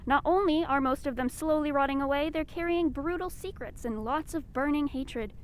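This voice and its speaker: A woman's voice: moderate pace, lightly acted. A woman playing a cheery but firm nursing home carer, perky and chiding, in an audio fiction comedy. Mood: persuasive. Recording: low rumbling background noise.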